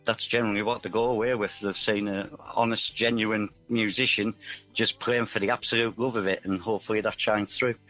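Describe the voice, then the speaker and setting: Hesitant lower-pitched man, dull lo-fi sound. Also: flat delivery, a little fast, pushed and bright. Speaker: musician, radio interview guest. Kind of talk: casual interview chat. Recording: dull band-limited line, music underneath.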